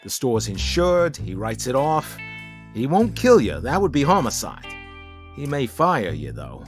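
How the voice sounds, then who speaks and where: An actor playing a weary, sarcastic hard-boiled cop questioning people in a noir-parody audio play. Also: male voice, medium pitch, wildly swooping pitch, measured pace, faint music behind. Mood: sardonic. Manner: sardonic, interrogating.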